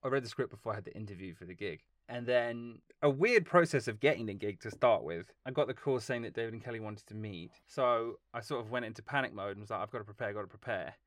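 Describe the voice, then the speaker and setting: A man talking as a film composer guest in a casual interview, telling long, lively stories and laughing along the way. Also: fairly low pitch, quick pace, few pauses, no echo, clean studio sound. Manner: animated, rambling storytelling with laughs.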